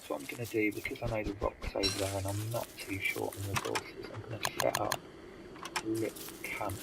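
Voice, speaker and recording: Low-voiced man, stuffy, hesitant. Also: fairly even intonation, fairly quick, creaky, heavy background noise. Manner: hesitant, many ums and restarts. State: stuffy with hay fever. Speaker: gamer commentating his own play. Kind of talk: casual gameplay commentary. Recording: loud game music behind.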